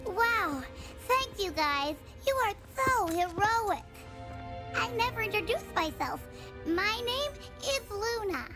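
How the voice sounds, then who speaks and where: A woman's voice: extremely high pitch, sing-song, takes it slowly, heavily acted. A woman voicing a sweet, innocent little girl who gushes admiringly in a video game. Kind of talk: video game cutscene acting. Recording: loud music behind the voice.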